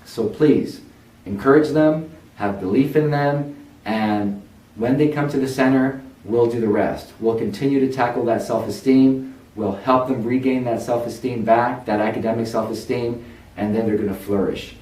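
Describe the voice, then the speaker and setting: A man's voice: mid-range voice, fairly even intonation, normal speed, a little mellow. A man who runs a learning center, earnestly telling parents his own story about school and his stutter. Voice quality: says he stutters. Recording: echoey room, a bit far from mic.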